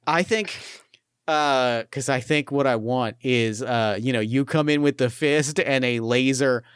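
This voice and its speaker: Male game master, smooth resonant voice, dry close sound, excitable and profane. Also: mid-range voice, a little slow, dry recording. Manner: enthusiastic, profane, stumbles over restarts. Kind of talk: actual-play tabletop narration.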